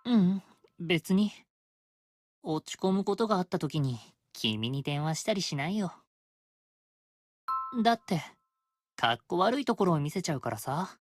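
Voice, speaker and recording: High, lilting, vain male demon. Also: sing-song, fairly quick, pauses often. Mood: soft, coy. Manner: coy, playful, self-admiring. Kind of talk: Japanese game voice acting, intimate phone monologue.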